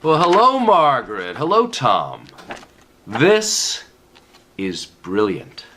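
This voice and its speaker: A man's voice: mid-range voice, wildly swooping pitch, slow, pushed and bright, a little hiss or hum, exaggerated voice. An actor playing a jovial, patronizing older male official who reassures in an emphatic, avuncular way, in a comedic radio play. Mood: jovial, delighted.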